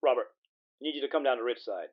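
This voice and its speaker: A man's voice: mid-range voice, quick pace. A man playing a terse, urgent caller summoning a detective in a noir audio drama, heard over a telephone. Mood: urgent, curt. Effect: telephone filter. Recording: dull, muffled phone line.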